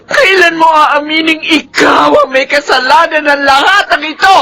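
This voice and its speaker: A woman's voice: high-pitched, normal speed, creaky, pushed and bright, faint music behind, full character voice. An actress with a Filipino accent playing a grieving wife and mother, accusing and scolding in anguish in a radio drama. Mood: furious anguish.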